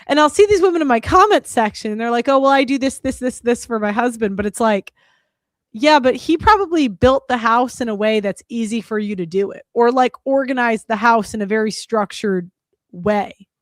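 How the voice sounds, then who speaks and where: A woman's voice: on the high side, animated, moderate pace, no echo. A woman hosting a call-in show, talking casually with many likes and ums. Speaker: podcast host.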